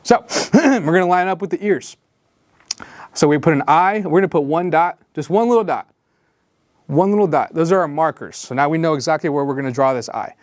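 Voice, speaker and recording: A man leading a drawing tutorial in a jokey, rambling way, full of gentle encouragement and little asides. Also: fairly high pitch, a little fast, no room sound, clean studio sound. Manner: drops to whispers while concentrating on drawing. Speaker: drawing instructor.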